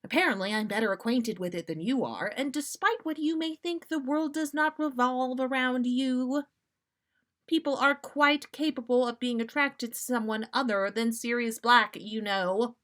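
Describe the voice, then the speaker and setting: A woman's voice: on the high side, normal speed, a bit bright, pitched above the performer's own voice, close to an everyday voice. A woman voicing a stern, no-nonsense storybook mother with an exasperated, firm, matter-of-fact manner. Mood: exasperated scolding. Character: stern, no-nonsense, scolding mother of troublesome twins.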